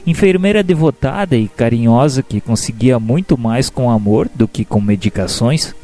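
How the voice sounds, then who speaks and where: An earnest, humble male narrator voiced by an actor in a radio drama. His mid-range voice is mellow and gentle, with solemn, formal phrasing. Soft music runs underneath. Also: mid-range voice, natural voice. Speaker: narrator and protagonist. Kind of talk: dramatized first-person scripted narration.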